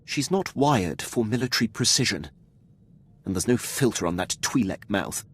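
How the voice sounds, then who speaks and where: An actor playing a dutiful Imperial military officer in a video game, speaking with precise, deferential formality. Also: male voice, lowish voice, lively intonation, normal speed, husky. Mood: stiff annoyance. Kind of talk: scripted video game dialogue.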